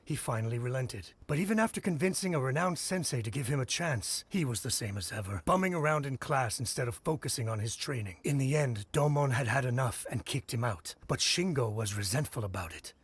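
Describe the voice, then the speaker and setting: A man's voice: mid-range voice, lively intonation, fairly quick, few pauses, breathy edge, dry recording. A man voicing a gossipy, scornful villager in an anime video game, with a sardonic, scoffing delivery. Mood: skeptical, gossipy.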